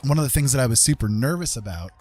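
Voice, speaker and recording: A man's voice: medium pitch, lively intonation, quick pace, a little mellow, soft background music. A middle-aged man chatting casually and self-deprecatingly as a livestream host, in a rambling, conversational way. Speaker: livestreamer hosting an art tutorial and chat. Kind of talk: casual chat to a live chat room.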